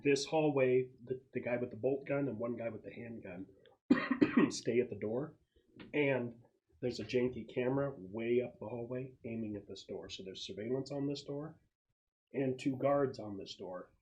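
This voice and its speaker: A man's voice: mid-range voice, moderate pace, a little mellow, highs cut off. A man narrating a tabletop roleplaying game as game master, describing scenes in a conversational way.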